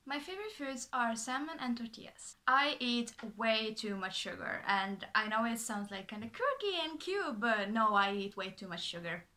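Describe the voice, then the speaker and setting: Young Finland Swedish woman, native Swedish, bright higher voice, chatty, restarts a lot, talking to a laptop camera in her bedroom. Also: sing-song, normal speed, hardly any pauses. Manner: chatty, bubbly, frequent fillers and restarts. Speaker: vlogger. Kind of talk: casual talking to camera, reading from a script. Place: small bedroom, indoors.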